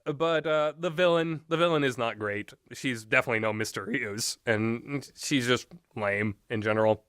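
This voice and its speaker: A man's voice: mid-range voice, normal speed, a bit bright, smooth, dry recording, studio quality. A man giving casual, rambling and opinionated commentary on video games, often hesitating as he talks. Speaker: video game reviewer.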